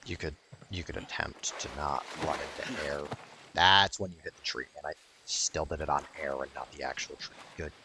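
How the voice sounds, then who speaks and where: A man's voice: a bass voice, steady tone, moderate pace, noisy background. A man rambling through casual gameplay commentary, swearing and sounding wryly exasperated as he streams. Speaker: video game streamer.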